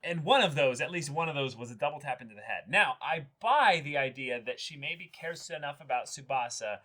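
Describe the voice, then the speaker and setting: A man's voice: fairly high pitch, animated, fairly quick, pushed and bright. A man co-hosting a reaction show, talking casually through an episode with a friend. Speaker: co-host of an anime reaction show. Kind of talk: casual discussion of an anime episode.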